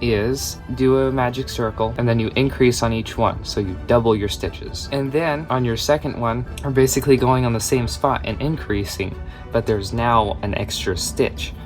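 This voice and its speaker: A man's voice: mid-range voice, normal speed. Chatty young man over background music. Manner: chatty, rambling, drifts off topic. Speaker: crochet vlogger and small shop owner. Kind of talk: casual crochet tutorial chat.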